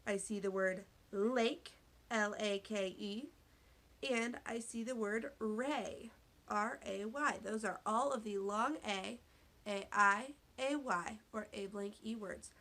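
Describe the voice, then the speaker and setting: Slow, bright-voiced woman teaching reading. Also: mid-range voice. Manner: deliberate, spells out words and asks questions. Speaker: elementary school teacher. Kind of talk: read-aloud phonics lesson for young children.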